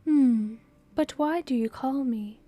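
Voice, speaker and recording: A woman's voice: high voice, slow, warm tone, no room sound, soft background music. A woman voicing a serious, distracted young noble lady in a story, questioning and mildly put-upon. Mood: conceding, puzzled.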